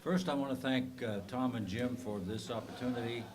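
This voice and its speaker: A man in his sixties with a bad cold, a retired professor giving a measured, methodical lecture to a club meeting, with frequent ums and uhs. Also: mid-range voice, noisy background. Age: 60s. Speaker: guest speaker, retired professor.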